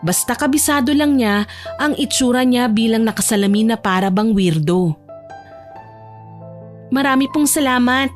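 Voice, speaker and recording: A woman's voice: fairly high pitch, moderate pace, a little mellow. A woman reading scripted narration for a romance audio drama, with music playing behind her.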